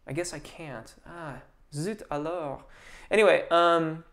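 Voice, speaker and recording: A man who is a vocal coach, answering viewers' questions in a relaxed, chatty live stream with lots of ums and restarts. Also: a little high, slow pace.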